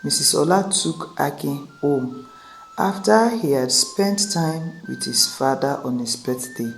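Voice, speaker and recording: A woman's voice: deep voice, expressive, unhurried, gravelly, faint music behind. A woman with a Nigerian accent narrating a scripted folktale as a storyteller.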